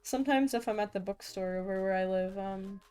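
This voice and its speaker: A woman's voice: mid-range voice, fairly quick, warm tone, clean tone, soft background music. A young woman streaming as she draws, chatting casually with viewers and often stuttering and restarting her sentences. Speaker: VTuber art streamer. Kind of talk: casual live-stream chat while drawing.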